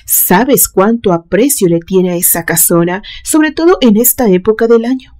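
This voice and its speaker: A woman voicing a gentle, persuasive father in a story, speaking softly and in a measured, coaxing way. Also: medium pitch, lively intonation, lightly acted. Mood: gentle, consoling. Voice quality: soft, soothing. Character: gentle, persuasive father consoling his grown son.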